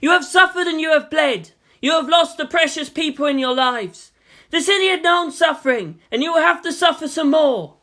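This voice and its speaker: A reader acting out an impassioned young warrior-preacher, high and bright in voice. He declaims with zeal, as if rallying a crowd in an audio story. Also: moderate pace. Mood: fervent, pained. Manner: oratorical, zealous, declamatory. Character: impassioned young man preaching with chainsword through megaphone. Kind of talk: dramatic fan fiction reading.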